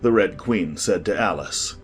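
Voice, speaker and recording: A man's voice: deep voice, unhurried, faint music behind. A man reading scripted storybook narration expressively.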